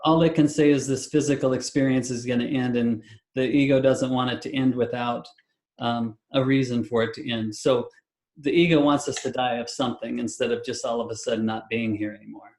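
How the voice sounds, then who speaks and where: A man's voice: mid-range voice, fairly even intonation, brisk, a little mellow. Reassuring man on an echoey call. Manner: reassuring, speaks in spiritual terms. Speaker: spiritual healer. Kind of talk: live webinar healing session. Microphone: video call.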